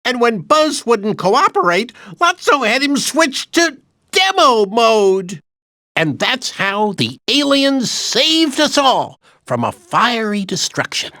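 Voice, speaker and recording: A man's voice: very high-pitched, sharp tone, no room sound, clean studio sound, full character voice. A man voicing an anxious, childlike toy dinosaur in a video game, earnestly retelling adventures with dramatic emphasis. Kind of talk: animated video game storytelling narration.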